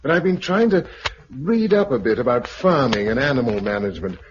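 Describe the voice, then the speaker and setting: Actor for wry, thoughtful farmer-husband: British, very varied intonation, 1950s radio drama, dull noisy archive sound. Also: male voice, medium pitch, lively intonation, fairly quick, lightly acted. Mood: wry, musing. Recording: muffled, noisy vintage 1950s radio recording.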